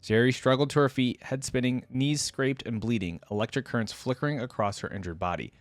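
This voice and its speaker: A man's voice: medium pitch, a little fast, no echo. A man reacting as he reads a story aloud, emphatic in delivery, dropping in casual commentary. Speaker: host of a reaction channel.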